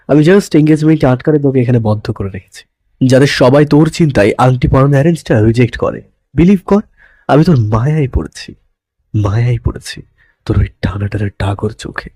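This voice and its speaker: A man's voice: mid-range voice, mellow, no room sound, lightly acted. A man playing an intense, possessive young lover in a romantic audio story, pleading dramatically and mixing English words into his speech. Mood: tender, pleading.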